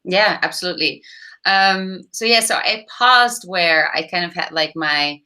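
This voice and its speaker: A woman's voice: fairly low pitch, measured pace, sharp tone. A woman in her thirties, originally from the Netherlands, with a light Dutch and British-tinged accent, chatting casually as an interview guest over a video call from a home office, using lots of fillers. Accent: light Dutch-tinged English with British touches. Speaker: startup founder and guest.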